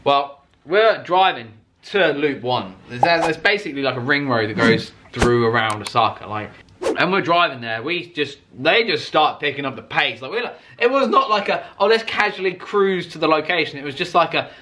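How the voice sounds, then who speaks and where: A man telling a personal story like a vlogger, casually and excitably, with emphatic swearing and acted-out reactions. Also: on the high side, normal speed, noisy background.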